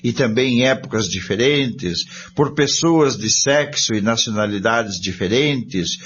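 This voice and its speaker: A man's voice: mid-range voice, lively intonation, unhurried, fairly bright tone. A man with a Brazilian accent hosting a spiritist study programme, giving scripted commentary to listeners. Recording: dull lo-fi sound with some noise.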